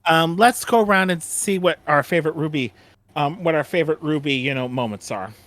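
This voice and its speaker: A man hosting a casual fan chat in a halting way, often repeating phrases as he goes. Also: on the high side, fairly bright tone, no echo. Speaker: host of a fan discussion.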